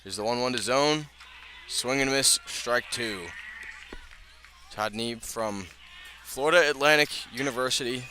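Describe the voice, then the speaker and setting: A man's voice: medium pitch, unhurried, long pauses, pushed and bright, soft background music. A man doing live radio play-by-play of a baseball game as the announcer. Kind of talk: live radio sports commentary. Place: outdoor ballpark broadcast position.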